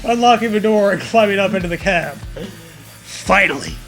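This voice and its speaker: A man's voice: high-pitched, expressive, moderate pace, loud music behind the voice. A man giving a comedic, mock-dramatic reading aloud as co-host, with profane banter.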